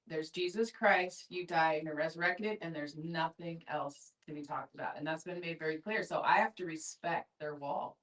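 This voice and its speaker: A woman's voice: fairly low pitch, a bit bright. A woman sharing candidly in a group discussion, blunt and emphatic. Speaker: discussion participant. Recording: echoey room, distant from mic.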